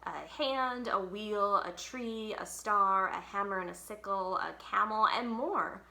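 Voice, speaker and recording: A woman's voice: mid-range voice, measured pace, creaky, bright, forceful tone, roomy sound. A woman reading a children's book aloud expressively, leaning on key words with dramatic emphasis. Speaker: library read-aloud storyteller.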